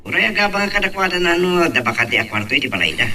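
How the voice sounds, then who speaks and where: A man playing a brisk, friendly young man in an Ilocano audio drama. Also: a little high, sing-song, pushed and bright, exaggerated voice. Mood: casual, friendly.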